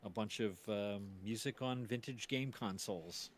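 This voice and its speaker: A man hosting a casual livestream chat, telling stories in a rambling, halting way. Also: fairly low pitch, slight background noise. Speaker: stream host and series producer.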